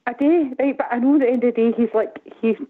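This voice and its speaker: A middle-aged woman with a Glaswegian accent calling in, rambling and animated, often asking "do you know what I mean". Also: on the high side, fast. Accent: Glaswegian Scottish. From Glasgow, Scotland. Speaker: caller. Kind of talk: casual phone-in chat. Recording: tinny phone line.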